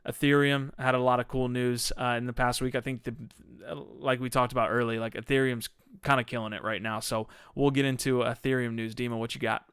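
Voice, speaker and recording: A man's voice: mid-range voice, level tone, a little fast, a bit bright, smooth, no room sound, studio quality. A man hosting a casual crypto podcast, chatty and upbeat, with many "like"s. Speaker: podcast host. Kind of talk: casual panel discussion.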